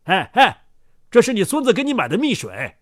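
A man voicing a hot-tempered but kindly inn landlord in a storybook, blustering and excitable. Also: high voice, fairly quick, no echo, clean studio sound, voice pushed up, heavily acted. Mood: loud, impatient. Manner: shouting, stammering when flustered.

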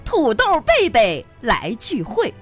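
A woman's voice: high voice, wildly swooping pitch, slow, heavily acted. A woman playing a bossy, doting wife in a comic radio drama, insistent and theatrical. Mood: proudly announcing. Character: bossy, doting wife proudly pushing dreadful homemade remedies. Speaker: comedy radio show host and performer. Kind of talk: live comedic radio drama acting. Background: music.

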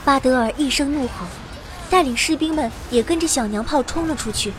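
A woman plays a young heroine telling her fantasy adventure as radio drama. Her high voice is expressive and dramatic in delivery, with clear noisy effects behind it. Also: a little fast, over a noisy crowd, lightly acted. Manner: expressive storytelling. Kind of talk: scripted dramatic radio narration.

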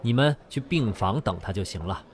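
A man's voice: lowish voice, expressive, normal speed, slight background noise, natural voice. A man playing a courteous, reassuring surgeon in a radio drama.

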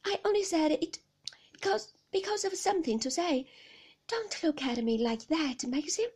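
A woman's voice: very high-pitched, animated, a little mellow, voice pushed up. A woman reading an audiobook voices a shy, anxious young wife, pleading and emotional. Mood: frightened pleading. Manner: pleading, emotional, quick to protest.

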